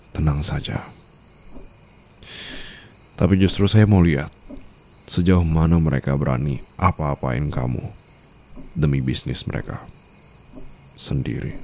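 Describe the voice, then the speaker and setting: A man playing a menacing, teasing mafia boss newly married, in an ASMR romance roleplay, with a gravelly, murmuring voice and a smug, formal, controlled manner. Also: fairly even intonation, measured pace, long pauses, darkish tone, a little hiss or hum. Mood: cold, candid. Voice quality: very deep, gravelly, often dropping to a breathy murmur.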